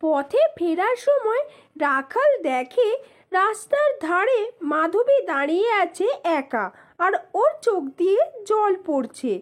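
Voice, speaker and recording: A woman narrating scripted moral tales for children in a deliberate, phrase-by-phrase storytelling manner. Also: very high voice, sing-song. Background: music.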